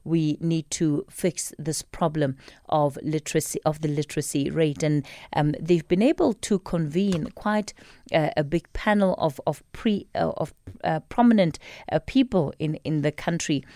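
A South African woman hosting a live radio talk show, speaking in a measured, emphatic way and repeating phrases for weight. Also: low-pitched, dark tone, no room sound, studio quality.

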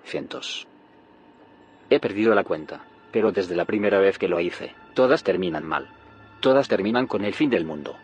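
An actor playing a grave, secretive scientist in an audio drama, solemn and explanatory. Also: male voice, on the low side, normal speed, darkish tone, soft background music, natural voice. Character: grave, secretive male scientist haunted by failed timelines. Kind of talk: audio fiction drama acting.

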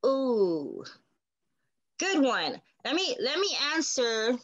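A woman's voice: high-pitched, big pitch swings, takes it slowly, no echo, muffled recording. Woman pastor explaining in conversation, dry close sound. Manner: explanatory, often checks with "right?". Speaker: pastor co-hosting a Bible study. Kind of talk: conversational Bible teaching.

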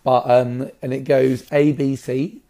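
A man with a slightly raspy voice, talking casually and keenly as an interview guest about his work. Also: medium pitch, little pitch movement, a little slow, mellow. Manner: enthusiastic. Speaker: hairdresser, salon owner, interview guest.

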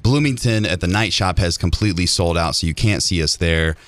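A man's voice: low voice, a little fast, fairly bright tone, no room sound. A man from Illinois, a band musician, telling stories chattily and enthusiastically as a guest in a casual radio interview. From Illinois, United States. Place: radio studio.

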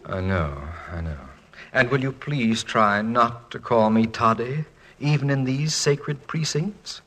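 A wry fretful college prez in old radio comedy, wordy and mock-pompous, mid-range voice. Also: male voice, mid-range voice, takes it slowly, fairly bright tone. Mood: wry, exasperated. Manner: dry, eloquent, mock-pompous. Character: wry, fretful, erudite college president and husband. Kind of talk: 1940s radio comedy acting.

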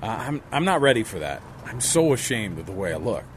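A man's voice: fairly low pitch, sing-song, a little hiss or hum. An actor playing a proud, ashamed homeless man in a radio drama, speaking hesitantly. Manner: hesitant, halting. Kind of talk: scripted radio drama acting.

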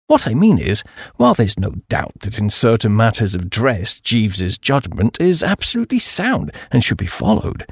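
A man voicing a flighty, excitable young upper-class English gentleman in comic audiobook narration, with breezy, slangy, exclamatory delivery. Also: on the low side, normal speed, creaky, breathy edge, dry recording, studio quality, heavily acted. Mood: chatty, earnest. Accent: British upper-class. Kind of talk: first-person comic audiobook narration in character.

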